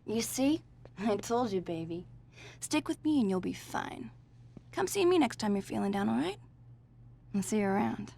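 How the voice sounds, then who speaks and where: A woman's voice: mid-range voice, expressive, normal speed, creaky, pauses often, warm tone, a little hiss or hum. An actress playing a carefree, flirtatious female thief in a video game, speaking in a teasing, breezy way. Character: playful carefree female cat burglar, flirty.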